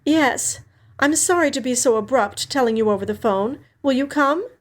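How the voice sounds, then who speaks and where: A woman voicing a grieving, dutiful older sister in a story reading, with an earnest, gentle delivery. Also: high-pitched, normal speed, pitched above the performer's own voice, natural voice. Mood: sad, apologetic. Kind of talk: audiobook character dialogue.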